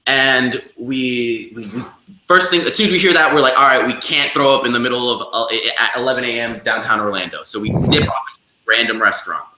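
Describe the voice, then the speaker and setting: A man being interviewed as a band member, telling stories casually and excitedly, often repeating words and acting out quotes. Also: mid-range voice, a little hiss or hum. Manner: halting delivery with repeated words and acted-out quotes. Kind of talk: animated casual storytelling. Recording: echoey room.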